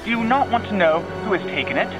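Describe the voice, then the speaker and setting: Fussy nervous gentlewoman, pitched way up, lively, put on by a man reading, lo-fi with music under. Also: fast. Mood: excited. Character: fretful, excitable middle-aged gentlewoman, mother of daughters. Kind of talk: audiobook reading. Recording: lo-fi with music behind.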